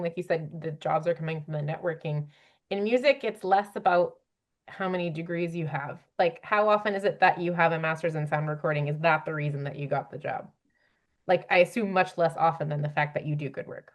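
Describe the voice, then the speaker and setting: Female moderator with a low voice, conversational and affirming, steering a panel discussion. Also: fairly quick. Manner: affirming, rephrasing what guests say.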